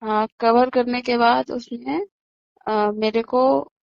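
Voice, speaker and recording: Woman eyewitness, higher-pitched voice, conversational recounting, muffled phone-call audio, dry sound. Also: steady tone, slow pace, vocal fry, dry recording. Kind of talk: casual recounting of events. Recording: dull lo-fi phone call recording.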